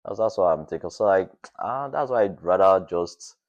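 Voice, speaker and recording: Young man, deep low pitch, podcast guest, casual chat, anecdotal, halting delivery. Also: animated, lo-fi sound. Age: young adult.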